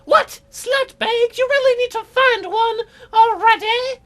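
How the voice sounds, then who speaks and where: A woman's voice: very high-pitched, slow, fairly bright tone, pitched above the performer's own voice, exaggerated voice. A woman voicing a pushy middle-aged mother from a visual novel, nagging her teenage daughter. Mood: pushy, scolding. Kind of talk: visual novel voice-over put on by a let's-player.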